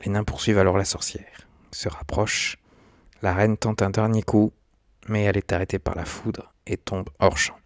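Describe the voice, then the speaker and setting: A man's voice: lowish voice, level tone, normal speed, no echo, clean studio sound. A man giving scripted, documentary-style narration as a video essayist.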